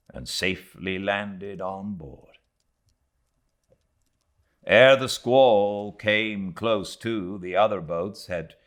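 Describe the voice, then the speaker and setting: A British man giving a dramatic reading of a literary audiobook, theatrical in delivery, moving between swelling intensity and hushed tones. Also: sits low. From Britain. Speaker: audiobook narrator.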